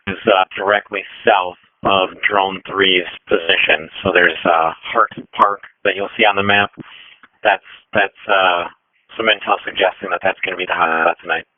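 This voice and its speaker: A man coordinating air operations over a police two-way radio, speaking procedurally with halting pauses. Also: sits low, fairly even intonation. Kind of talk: radio dispatch traffic. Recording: narrowband, dull police radio transmission.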